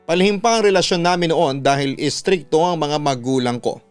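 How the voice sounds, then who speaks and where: A Filipino man reading a listener's letter as a radio storyteller, in a measured, sentimental way with a smooth, resonant voice. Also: on the high side. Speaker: radio announcer and story narrator. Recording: music bed under the voice. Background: background music.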